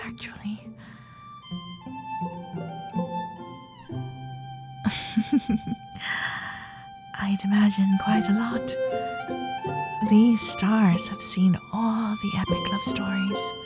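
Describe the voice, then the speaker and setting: A woman playing a shy, starry-eyed young Gilded Age heiress in an intimate romantic ASMR roleplay, breathy and often whispering, with genteel, hesitant manners. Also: medium pitch, steady tone, over loud music. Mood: tender, pleased. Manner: genteel, old-fashioned politeness, hesitant pauses.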